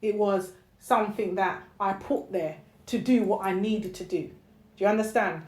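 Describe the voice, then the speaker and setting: A woman's voice: medium pitch, normal speed, a little mellow. A woman poet talking reflectively and candidly about her own work, conversational and emphatic, often checking that the listener follows. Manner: candid, emphatic, with frequent "you know" and check-in questions. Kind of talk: reflective, conversational personal talk. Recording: roomy, echoey sound.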